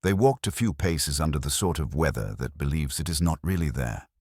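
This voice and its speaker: A man narrating a literary mystery story in a measured, wry, formal manner. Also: extremely low pitch, expressive, darkish tone, husky, dry recording, studio quality.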